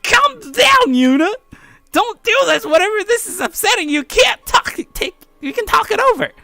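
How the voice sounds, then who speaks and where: A man's voice: very high voice, very animated, moderate pace, gravelly, pushed and bright, no room sound, pitched above the performer's own voice, heavily acted. A man playing a bewildered, frightened teenage schoolboy hero in a visual novel game, pleading melodramatically. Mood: panicked.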